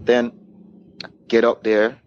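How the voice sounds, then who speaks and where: A man's voice: mid-range voice, monotone, slow pace. A man, a DJ guest telling casual anecdotes in an interview and acting out the dialogue. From Caribbean. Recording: muffled, clearly noisy room recording.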